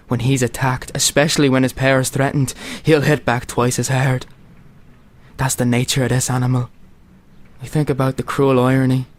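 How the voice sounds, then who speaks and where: An actor playing a young soldier who narrates his own execution in steady, grim tones. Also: mid-range voice, natural voice. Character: young male soldier refusing to shoot his brother. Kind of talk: amateur radio play acting.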